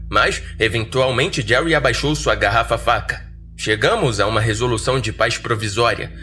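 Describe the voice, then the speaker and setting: A man's voice: mid-range voice, sharp tone. A Brazilian man narrating a scripted horror story in the first person as a podcast narrator. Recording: roomy echo, faint music behind.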